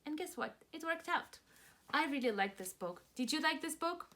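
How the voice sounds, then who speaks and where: A woman's voice: high-pitched, lively intonation, moderate pace, bright, forceful tone. A woman teacher doing a children's read-aloud, asking simple, encouraging questions of young listeners. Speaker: preschool teacher reading aloud.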